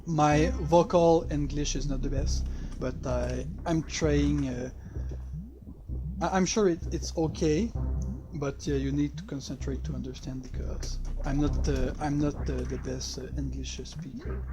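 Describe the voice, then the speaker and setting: Slow-talking man with a French accent. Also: a little high, steady tone, music in the background. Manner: halting, hesitant non-native English. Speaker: game developer giving commentary. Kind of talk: casual playthrough commentary.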